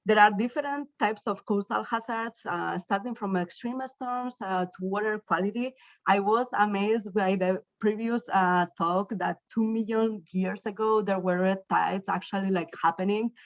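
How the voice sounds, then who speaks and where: A woman from northern Spain with a Spanish accent, giving an academic keynote talk as a professor in a somewhat halting, self-repeating way. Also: mid-range voice, no echo, highs cut off. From northern Spain, Basque Country. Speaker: university professor, invited keynote speaker. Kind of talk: academic presentation.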